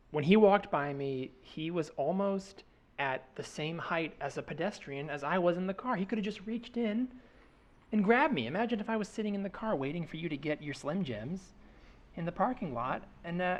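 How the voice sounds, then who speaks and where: A man's voice: high voice, dull audio. A man voicing a defensive, evasive wife in an improvised comedy, feigning innocence as he speaks. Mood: defensive, indignant. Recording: podcast with faint background noise.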